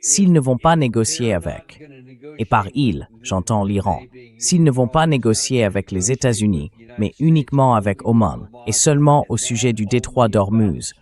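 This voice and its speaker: A man's voice: on the low side, big pitch swings, moderate pace, a little mellow. A man's voice in a translated voice-over, asking questions as the host of an interview.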